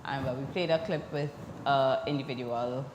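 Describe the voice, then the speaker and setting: A woman co-hosting a casual talk show, animated and quick to interrupt, repeating words for emphasis. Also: very deep voice, echoey room, long reverb tail, muffled recording, background noise. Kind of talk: casual chat.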